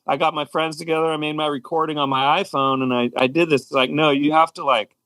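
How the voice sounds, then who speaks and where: A man's voice: fairly high pitch, steady tone, quick pace, pushed and bright, no echo. A man in his forties chatting casually and telling anecdotes as a guest musician on a podcast. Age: 40s.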